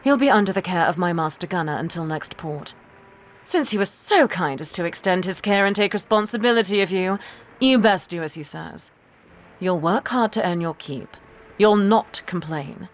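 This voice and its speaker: A woman's voice: on the low side, lively intonation, normal speed, close to an everyday voice. A woman playing a stern, cold ship's captain in an audio drama, curt and imperious. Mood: cold, commanding.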